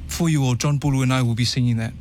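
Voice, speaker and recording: A man who grew up speaking Tongan, an opera tenor being interviewed, chatting in a relaxed way and laughing easily. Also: medium pitch, rapid-fire, heavy background noise. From New Zealand, Tongan family. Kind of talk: casual interview chat.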